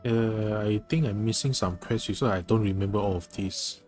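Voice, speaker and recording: A man's voice: fairly low pitch, fairly even intonation, normal speed, a little mellow. A man doing casual live-stream game commentary, musing to himself in a hesitant way. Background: game music.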